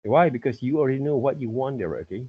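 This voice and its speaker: A middle-aged man with a husky voice teaching an informal online lesson, repeatedly checking with "okay" and "right". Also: medium pitch, fast. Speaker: teacher, art and character design tutor. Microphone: computer mic on a video call. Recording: muffled video-call audio.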